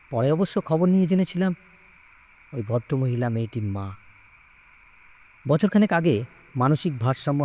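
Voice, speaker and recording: A man narrating a scripted ghost story in the first person, in a dramatic, expressive way. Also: mid-range voice.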